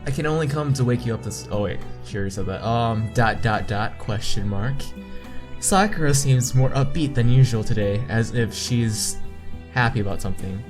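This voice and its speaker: A man doing a game playthrough, reading visual novel text aloud haltingly and adding his own asides. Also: mid-range voice. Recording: game music behind the voice. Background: game music.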